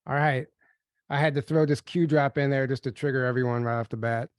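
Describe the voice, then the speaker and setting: A man's voice: mid-range voice, steady tone, a little fast, no echo, clean studio sound. A man hosting a podcast webinar, chatty and upbeat, a little nasal and sniffly as he gets over a cold, reading slides aloud. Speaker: podcast co-host. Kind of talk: casual webinar presentation.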